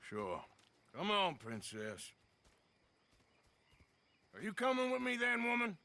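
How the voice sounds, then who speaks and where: An actor playing a gruff, sardonic outlaw of the American West in a video game, drawling in an American accent. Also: male voice, sits high, wildly swooping pitch, slow pace, bright, forceful tone, heavily acted. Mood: stern, wry. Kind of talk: dramatic video game cutscene acting.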